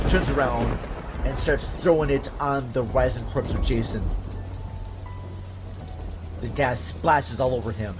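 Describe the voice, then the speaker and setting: Dramatic male narrator over music, dull audio. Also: mid-range voice. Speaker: podcast host. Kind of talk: dramatic script narration and casual chat.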